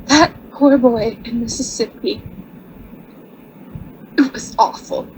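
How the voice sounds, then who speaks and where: A shy earnest young wife, higher voice, takes her time, hesitant, radio play. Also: slow, a little mellow, slight background noise, rough recording. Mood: earnest, apologetic. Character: earnest, shy young married woman artist, admiring. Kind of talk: radio drama acting.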